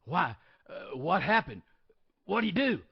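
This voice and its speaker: A man at a live table read playing a helpful, deferential janitor who speaks haltingly and politely. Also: high-pitched, very animated, creaky, husky, lo-fi sound, heavily acted. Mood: puzzled, concerned.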